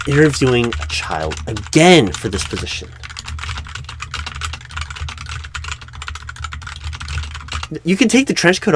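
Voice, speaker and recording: A man playing a flustered, earnest recruiting manager in a one-sided job interview roleplay, speaking haltingly and often correcting himself. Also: medium pitch, noisy background, close to an everyday voice. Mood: suspicious, resigned. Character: flustered, unobservant male corporate recruiting manager, earnest, exasperated. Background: computer keyboard typing.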